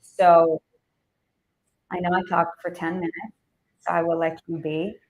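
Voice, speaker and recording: A woman's voice: fairly low pitch, level tone, a little slow, highs cut off. Earnest woman coaching. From Israel. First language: Hebrew. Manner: earnest, repeats herself for emphasis. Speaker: wellness coach and host. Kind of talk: motivational livestream talk.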